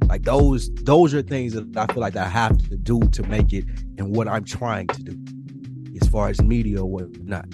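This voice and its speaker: A man co-hosting a podcast, talking casually in profane slang and getting excited, his voice leaping up as he does. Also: normal speed, over loud music. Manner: excitable, voice jumps high when worked up, profane slang. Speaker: podcast co-host. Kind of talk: casual chat.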